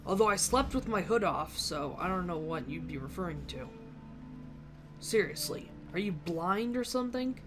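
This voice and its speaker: Woman gamer with a wry delivery, music behind. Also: lowish voice. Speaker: let's play host, gamer. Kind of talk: casual gameplay commentary, partly in character. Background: game music.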